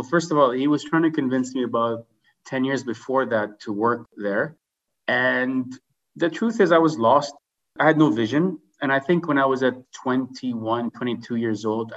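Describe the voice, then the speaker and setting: A man's voice: medium pitch, moderate pace, a bit bright, highs cut off. A middle-aged man tells his story in a casual, conversational interview style, often checking in with "right?". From grew up in Dubai and Canada. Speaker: podcast guest, insurance business executive.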